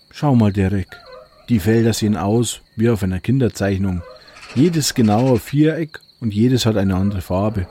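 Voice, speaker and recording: A man's voice: lowish voice, mellow, a little hiss or hum. An actor playing an easygoing solitary man who mutters and exclaims to his dog in a German radio play. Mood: content.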